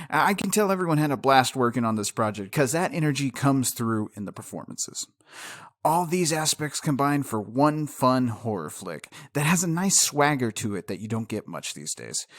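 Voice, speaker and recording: A man delivers a scripted film review as host, with enthusiasm and emphasis. His medium-pitched voice moves up and down in a lively way, and the sound is dry and close. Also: no echo.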